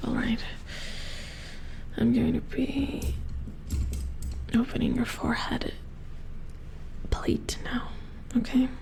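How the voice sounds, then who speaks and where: A woman playing a gentle, squeamish robot repair engineer in a hushed, hesitant ASMR roleplay, murmuring to herself. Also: a little high, little pitch movement, takes it slowly, gravelly, long pauses. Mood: gentle, focused. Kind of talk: soft-spoken whispered ASMR roleplay.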